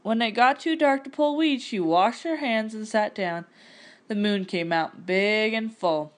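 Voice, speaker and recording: A woman narrating a picture-book story aloud in an expressive, scripted storytelling style. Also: medium pitch, unhurried.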